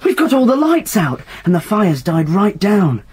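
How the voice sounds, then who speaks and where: A man's voice: high voice, wildly swooping pitch, normal speed, a little mellow, breathy edge, exaggerated voice. An actor playing a hearty young upper-class Englishman who coaxes with jolly, old-fashioned slang. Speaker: young stepson. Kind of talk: radio drama acting.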